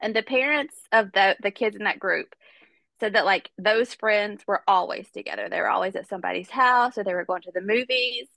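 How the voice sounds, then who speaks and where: A woman's voice: mid-range voice, fairly quick, vocal fry, bright, forceful tone, no room sound. A woman hosting a podcast, telling a true-crime story in a chatty, casual way while stuffed up with a cold. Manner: chatty, conversational, many fillers. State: congested with a cold. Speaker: podcast host.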